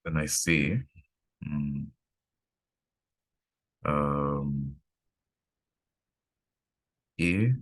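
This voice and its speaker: A man with a very deep voice leading a live online coding lesson, thinking aloud with pauses and fillers. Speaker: coding instructor.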